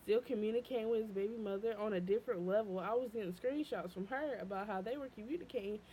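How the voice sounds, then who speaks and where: A young woman, telling a personal story to camera in a casual, animated way, jumping high when she quotes herself and dropping low and quiet when upset. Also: quick pace, slight background noise, low-quality recording. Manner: animated, voice leaping high when quoting her past self. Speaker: vlogger.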